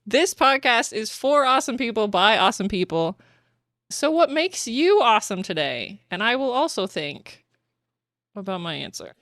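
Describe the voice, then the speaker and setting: A woman's voice: a little high, big pitch swings, moderate pace, fairly bright tone, no room sound, clean studio sound. A woman with a Canadian accent hosting a podcast, chatting casually and acting out quotes, laughing often. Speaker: podcast host. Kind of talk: casual chat.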